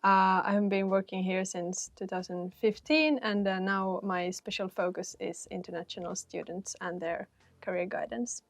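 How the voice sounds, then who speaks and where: A woman's voice: mid-range voice, fairly even intonation, moderate pace, no echo. Lady in her 30s, career counselor, chatty w/ lots of ums, dry close sound. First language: Finnish and Swedish. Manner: chatty, many fillers and restarts. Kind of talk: casual panel talk.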